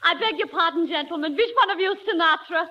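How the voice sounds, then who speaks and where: Indignant Brooklyn club girl, piercingly high, comic 1940s radio character, very noisy recording. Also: quick pace, dull audio. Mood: haughty. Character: brash, indignant Brooklyn fan club president, female. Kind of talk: 1940s radio comedy acting.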